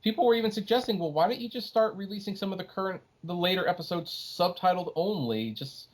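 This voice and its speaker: A man's voice: high-pitched, fast talker, poor audio. Emphatic man talking on a podcast. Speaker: podcast host. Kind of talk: opinionated casual podcast discussion.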